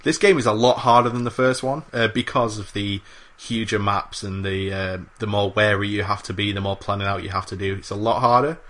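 Keen podcast host with a bright voice. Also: male voice, on the low side, steady tone, fairly quick, hardly any pauses. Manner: enthusiastic, swears freely, many fillers. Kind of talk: casual chat.

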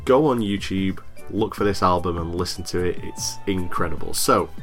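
A man's voice: low-pitched, normal speed, soft background music. A man hosting a podcast in casual, frank chat, talking with a bit of a cold. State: has a cold. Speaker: podcast host.

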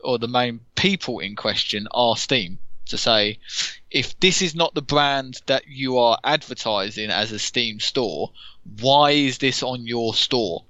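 Earnest podcast cohost guy, sharp bright voice, restarts his sentences, dry muffled mic. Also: mid-range voice, animated, measured pace, no room sound. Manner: earnest, repeats himself while thinking. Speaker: podcast co-host. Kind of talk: casual podcast chat. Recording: dull, dry close mic.

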